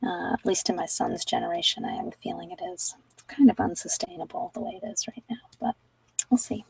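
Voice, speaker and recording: Soft, creaky-voiced woman, chatting reflectively. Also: lowish voice, wildly swooping pitch, fairly quick, no room sound, distant mic, low-quality recording. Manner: soft-spoken, often dropping toward a whisper; rambling, reflective, trails off mid-thought. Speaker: ASMR meditation host. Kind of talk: casual livestream chat to viewers.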